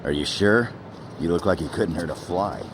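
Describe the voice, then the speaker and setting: An actor playing a gruff, blunt old firebrand of a squadron leader, good-natured underneath, in a game cutscene. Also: male voice, deep voice, moderate pace, background noise, close to an everyday voice.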